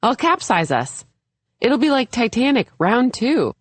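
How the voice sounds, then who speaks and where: Woman voicing a flustered, higher-pitched novice woman sailor. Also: very animated, unhurried, breathy edge, no room sound, exaggerated voice. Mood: alarmed, joking. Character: flustered, self-doubting woman learning to sail. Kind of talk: audiobook character dialogue.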